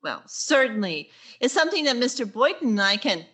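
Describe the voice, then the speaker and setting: A woman playing a wry schoolteacher with quick deadpan asides in a vintage radio sitcom style. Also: fairly high pitch. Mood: politely cheerful.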